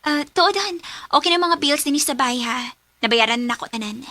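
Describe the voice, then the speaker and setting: A woman's voice: high-pitched, animated, brisk, bright, forceful tone, no echo. A woman with a Cebuano Filipino accent playing a sweet, caring young wife in a radio drama.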